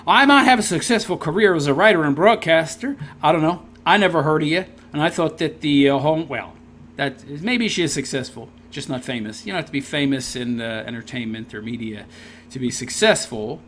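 A man's voice: on the high side, brisk, fairly bright tone, slight background noise. A man reading a text aloud and tearing into it with sarcastic, scornful commentary. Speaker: commentary video host.

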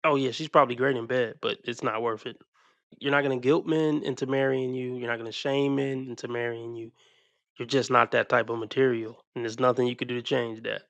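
A man's voice: mid-range voice, level tone, a little fast, vocal fry, a bit bright, no echo. A man giving blunt, mocking reaction commentary on video clips.

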